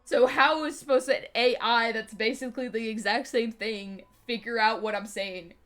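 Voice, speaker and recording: A woman's voice: on the high side, steady tone, normal speed, fairly bright tone. Chatty young woman with a slight lisp. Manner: rambling, many fillers and restarts, laughs often. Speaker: streamer. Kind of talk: casual live-stream chat.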